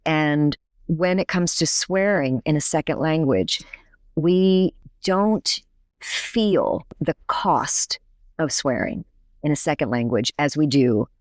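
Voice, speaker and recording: A woman's voice: deep voice, animated, takes it slowly, no room sound, clean studio sound. A woman professor and native English speaker, explaining her research in a conversational podcast interview, thoughtful with frequent restarts.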